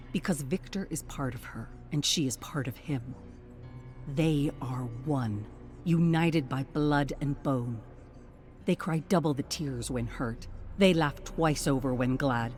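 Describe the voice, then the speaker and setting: Slow, low-voiced woman narrating solemnly. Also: darkish tone. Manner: solemn, deliberate, storytelling. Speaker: story narrator. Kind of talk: dramatic scripted game narration. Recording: music behind the voice.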